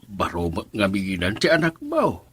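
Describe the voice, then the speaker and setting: An actor playing a jovial, doting old grandfather with a raspy voice, in an Ilocano radio drama. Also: lowish voice, huge pitch swings, moderate pace, fairly bright tone, full character voice. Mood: amused, advising.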